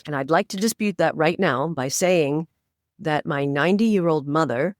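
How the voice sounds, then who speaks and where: A woman's voice: low voice, normal speed, no echo, studio quality. A woman in her sixties, a podcast guest, speaking emphatically and persuasively as she urges listeners on. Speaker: podcast guest, inclusive AI advocate. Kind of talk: conversational interview.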